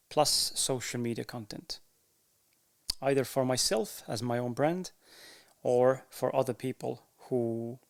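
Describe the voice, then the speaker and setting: Man, Estonian accent, podcaster, casual and candid, pauses often. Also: medium pitch, creaky, clean tone. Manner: unhurried, frequent pauses and fillers, candid. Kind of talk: casual talk to camera.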